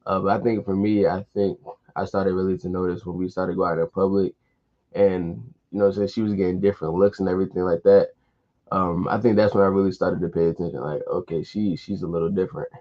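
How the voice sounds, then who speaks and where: A man's voice: low-pitched, steady tone, fairly quick. A man in his twenties with an American accent, talking casually as a panel guest. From Washington DC area, United States. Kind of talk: casual chat. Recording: dull, lo-fi call audio.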